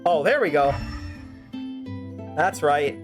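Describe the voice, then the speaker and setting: A woman's voice: sits low, lively intonation, takes it slowly. A woman streaming a game, improvising fan-dub commentary in a wry, sardonic, rambling way. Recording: faint game music behind. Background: faint game music.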